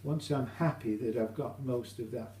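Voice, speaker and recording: British male hobbyist demonstrator. Relaxed, dark tone, hesitant informal delivery, faint hum in the recording. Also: mid-range voice. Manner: hesitant, halting, explanatory. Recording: roomy echo, faint hum.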